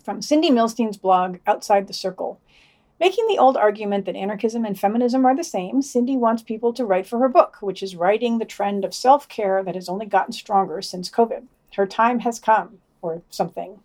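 A woman's voice: medium pitch, brisk, darkish tone. A woman co-hosting a podcast, reading out a news digest with dry, wry asides. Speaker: podcast co-host.

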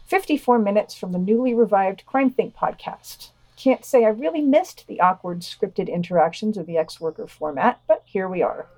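A woman's voice: mid-range voice, lively intonation, moderate pace, hardly any pauses, darkish tone, no room sound, low-quality recording. A woman co-hosting a podcast, reading scripted news and quotations with dry, understated commentary.

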